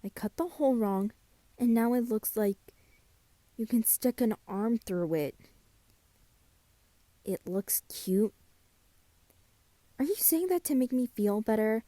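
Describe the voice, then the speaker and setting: A woman playing a self-doubting friend in a scripted roleplay, speaking softly and hesitantly at an unhurried pace. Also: a little high, dry recording. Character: soft-spoken, self-doubting friend carving Halloween pumpkins.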